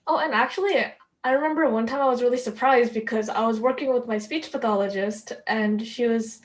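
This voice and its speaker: A young woman whose first language is Korean talks casually as a podcast host. Her voice sits a little high, and she stutters now and then, filling pauses with "like" and "um" and laughing often. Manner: stutters, speaks with many fillers and laughs. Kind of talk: casual conversation.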